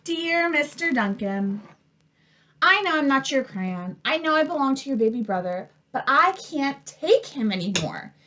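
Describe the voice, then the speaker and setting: A long-suffering crayon in a picture book, played by a woman. It is aggrieved and desperate. The pitch is high. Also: sing-song, a little slow, pushed and bright, slight background noise, full character voice.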